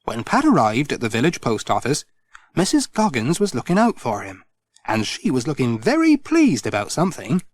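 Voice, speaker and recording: A middle-aged man reading a children's storybook aloud, gentle and expressive. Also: mid-range voice, moderate pace, dry recording. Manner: gentle, expressive, sing-song. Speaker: audiobook narrator.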